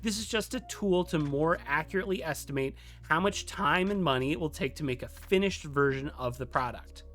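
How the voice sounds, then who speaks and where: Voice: mid-range voice, fairly quick, fairly bright tone. A presenter narrating a scripted tutorial in a conversational, lightly playful way. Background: music.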